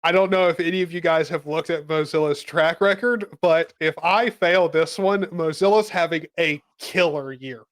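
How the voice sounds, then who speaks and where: A man's voice: a little high, moderate pace, hardly any pauses. Wry joking male podcast co-host. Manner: wry, dry jokes. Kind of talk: casual podcast chat.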